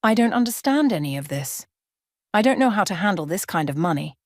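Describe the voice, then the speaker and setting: A woman's voice: mid-range voice, very animated, brisk, no room sound, studio quality, voice pushed up. A woman playing a shaken, bewildered divorcée of thirty-five, questioning emotionally in an audio story. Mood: overwhelmed.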